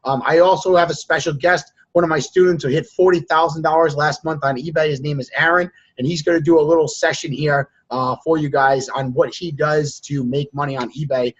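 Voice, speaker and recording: A hoarse man with laryngitis hosting a live group call, coaching students in a blunt, lecturing way. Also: a little high, steady tone, fairly quick, few pauses. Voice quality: husky, strained. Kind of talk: casual coaching chat. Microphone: online call. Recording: dull lo-fi sound.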